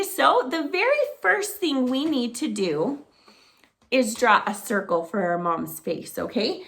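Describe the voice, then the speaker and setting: A middle-aged woman teaching preschoolers, talking to small children in an animated, sing-song teacher voice. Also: fairly high pitch, creaky. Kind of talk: children's circle-time lesson to camera.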